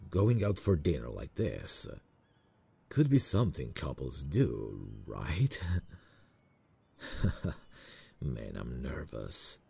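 Romantic ASMR lead: a man playing a nervous, lovestruck male boss; gravelly, expressive swings in pitch, intimate and self-conscious. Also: full character voice. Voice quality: very deep, gravelly, husky. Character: smitten, nervous male boss on a work trip. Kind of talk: romantic ASMR roleplay acting.

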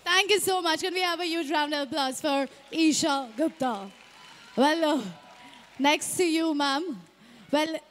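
A young woman with an Indian accent hosting on stage and putting questions to guests. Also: sits high. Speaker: event host. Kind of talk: stage interviewing. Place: large fashion show runway stage with audience. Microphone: handheld microphone.

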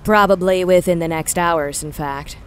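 A woman's voice: fairly low pitch, moderate pace, slight background noise, close to an everyday voice. A woman voicing a friendly, easygoing male bar attendant in a space audio drama. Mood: helpful.

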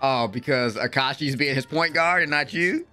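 A young man doing excited live reaction commentary, slangy and exclamatory, repeating words, on a close mic in a small room at home. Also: mid-range voice, moderate pace, faint music behind. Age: young adult. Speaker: anime reaction host. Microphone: close desk microphone with headset on.

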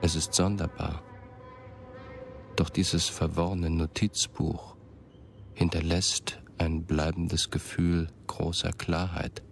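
A brooding narrator in a radio drama. The voice is extremely low with heavy creak, delivered in a flat, measured and introspective way. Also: male voice, a little hiss or hum, full character voice. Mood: brooding, detached. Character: brooding first-person narrator, disoriented yet lucid.

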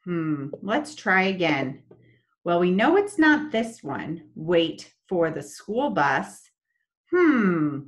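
Young woman teacher on a laptop cam, slow sing-songy kid-lesson voice, bouncy pitch. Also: very animated. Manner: slow, sing-song teacher talk to children. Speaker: special education teacher. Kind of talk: online lesson with screen share. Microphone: laptop webcam, video call.

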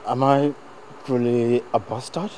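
A man's voice: medium pitch, steady tone, a little slow, darkish tone, slight background noise, natural voice. A young actor playing a frustrated son who pleads with his mother, repeating himself and mixing languages. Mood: pleading. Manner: pleading, repetitive, code-switching.

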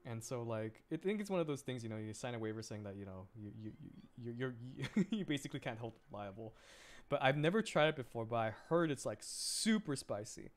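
Animated young man rambling on stream. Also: mid-range voice. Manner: rambling, halting, repeats words while thinking. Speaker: VTuber game streamer. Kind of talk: casual live game stream chat.

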